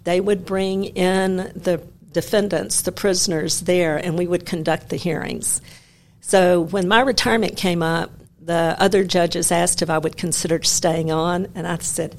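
A woman in her sixties from Memphis, a retired judge telling stories from her career in a measured, wry way. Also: on the low side, steady tone. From Memphis, Tennessee. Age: 60s. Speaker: guest, retired federal judge. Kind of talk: conversational interview.

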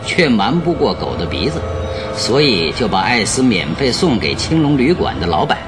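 Man acting animated, masterful detective in radio drama. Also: medium pitch, normal speed, close to an everyday voice. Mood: confident, expository. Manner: masterful, courteous, expository. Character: confident male detective expounding his deductions. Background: background music.

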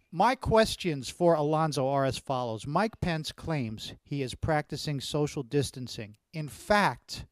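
A fairly high-voiced man talks loudly and eagerly, tripping over his words as he restarts sentences. He reads out fan questions as host. His voice is dry and close over the call. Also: dry recording. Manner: loud, excitable, stumbling restarts. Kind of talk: casual video-call chat. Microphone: video call.

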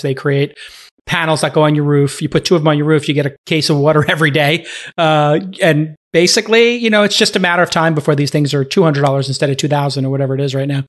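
A man's voice: fairly high pitch, a bit bright. A man in his late forties hosting a podcast, chatty and enthusiastic in casual interview talk. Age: 40s. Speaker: podcast host.

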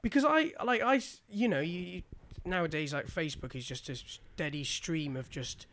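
A man's voice: a little high, normal speed, a bit bright. A man in his early twenties chatting casually, with a teasing, playfully protesting manner. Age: early 20s. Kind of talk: casual bantering chat.

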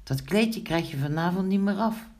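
A woman's voice: lowish voice, lively intonation, normal speed, darkish tone, pitched above the performer's own voice. A woman playing a strict, no-nonsense mother in a children's story, firm and scolding.

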